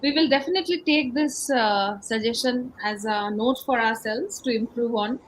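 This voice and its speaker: A woman's voice: on the high side, moderate pace, a little hiss or hum, rough recording. A woman with an Indian accent hosting an interview in a conversational style. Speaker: interview host.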